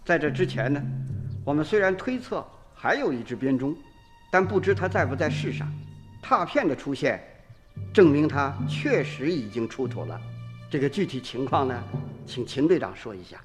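An actor playing an earnest, learned museum director in a radio drama, with a formal, scholarly way of talking. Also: male voice, a little high, sing-song, normal speed. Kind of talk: Chinese radio drama acting. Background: music.

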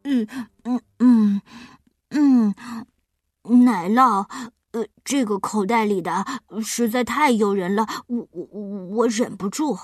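A woman plays a young male cartoon chick caught snacking, mumbling and stumbling over his words. The voice is husky and the sound is dry. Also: fairly high pitch, slow pace, a little mellow, no room sound. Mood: sheepish. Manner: mumbling, stammering.

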